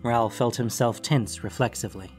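Man, fiction narrator, medium pitch, scripted reading, faint guitar backing. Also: moderate pace. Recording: music bed under the voice. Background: guitar music.

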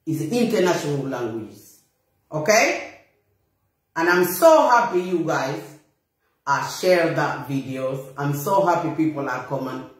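A woman's voice: deep voice, expressive, unhurried. A middle-aged woman with a Bissau-Guinean accent, delivering a blunt, indignant and emphatic confrontational monologue to a phone camera in a small room. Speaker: vlogger. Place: small bare room indoors. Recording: very echoey room.

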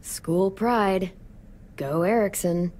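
A woman playing a weary, protective teenage girl survivor in a video game, speaking in a gentle, steady, parental way. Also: medium pitch, drawn-out words. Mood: light, caring. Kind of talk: naturalistic video game acting.

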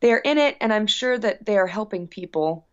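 A woman's voice: medium pitch, animated, normal speed, clean tone. A woman speaking earnestly and reflectively as a musician being interviewed, in casual conversation. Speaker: guest musician. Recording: dull, lo-fi sound.

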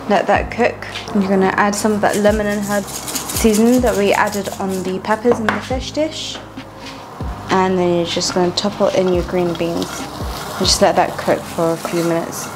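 A woman's voice: medium pitch, noisy background. A woman, chatting casually as she talks viewers through a home cooking recipe step by step. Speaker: cooking vlogger. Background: background music.

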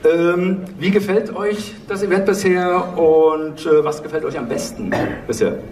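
A man moderating a live stage panel, pausing often and drawing out words. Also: high-pitched, a little mellow. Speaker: panel host, audio drama producer. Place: theatre stage, indoors. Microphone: handheld mic over PA, recorded from audience. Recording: roomy, distant hall recording with noise.

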